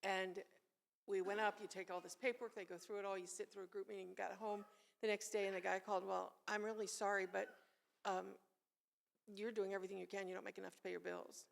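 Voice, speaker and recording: Woman, discussion participant, telling her own story plainly, mid-pitched with noticeable fry. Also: flat delivery, fast. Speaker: church class participant. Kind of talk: personal anecdote in a discussion.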